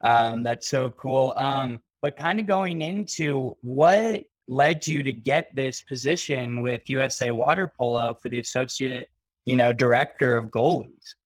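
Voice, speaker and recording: An upbeat male host leading a casual interview. His voice is of middle pitch for a man and sounds dry and close to the mic. He reacts with encouraging remarks. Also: unhurried, no room sound, poor audio. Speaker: podcast host.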